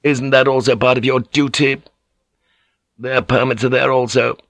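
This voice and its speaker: Man voicing an arrogant, icy warlord, pitched up. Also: little pitch movement, moderate pace, a bit bright, no echo, lightly acted. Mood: scornful, commanding. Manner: cold, scornful, rhetorical questioning. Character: arrogant, icy male Japanese warlord general, scornful and ruthless. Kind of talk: dramatic audiobook character voice.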